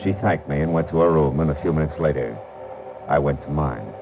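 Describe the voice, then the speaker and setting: An actor playing a tough, wisecracking private detective with an American accent in a 1940s radio noir, hard-boiled and wry. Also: male voice, rumbling low, brisk, narrow, tinny audio, loud music behind the voice. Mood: wry, tense narration.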